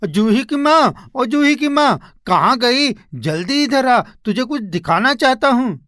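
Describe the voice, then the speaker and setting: A man voicing a poor, humble woodcutter husband in an animated moral story, earnest and expressive. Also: extremely high pitch, unhurried, no room sound, studio quality, full character voice. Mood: excited, joyful.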